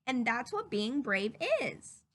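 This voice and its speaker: Female storybook reader for kids: very lively intonation, unhurried, explains things simply. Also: on the high side. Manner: animated, simple explanatory asides to young listeners. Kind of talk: expressive read-aloud narration for children.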